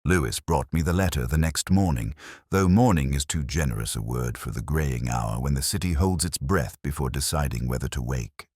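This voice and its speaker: A man playing an older, melancholy police inspector, narrating his case in the first person in measured, literary, contemplative phrases. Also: very deep voice, darkish tone, breathy edge, dry recording, studio quality. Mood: weary. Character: older male Oxford police inspector, brooding, reflective, melancholy.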